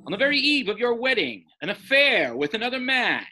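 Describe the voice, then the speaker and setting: A man's voice: extremely high pitch, sing-song, brisk, pushed and bright, heavily acted. A man playing a stuffy, indignant jilted fiancé in a radio play, pompous in manner. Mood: outraged.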